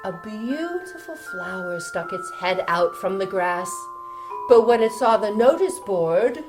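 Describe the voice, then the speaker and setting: A woman narrating a children's story in an expressive, theatrical style, inviting listeners along. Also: medium pitch, unhurried, music in the background.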